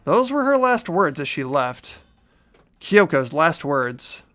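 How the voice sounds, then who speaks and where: Anxious teenage boy hero for a story game, played by a man: highish, lively, earnest. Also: slow pace, faint music behind, exaggerated voice. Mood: subdued narration. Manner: questioning, earnest. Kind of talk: fan-voiced game narration and dialogue.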